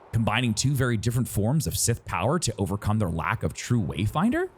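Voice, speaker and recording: A man reading a story aloud as a dramatic narrator, with suspenseful, deliberate phrasing and pauses. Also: mid-range voice, darkish tone, slight background noise. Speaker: narrator reading a novel excerpt. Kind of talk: dramatic audiobook-style narration.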